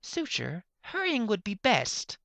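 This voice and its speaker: A man's voice: high-pitched, wildly swooping pitch, slow pace, vocal fry, bright, forceful tone, no echo, pitched above the performer's own voice, full character voice. A man voicing an irritated, sardonic unicorn stallion professor in a pony story, curt and mocking in manner. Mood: strained.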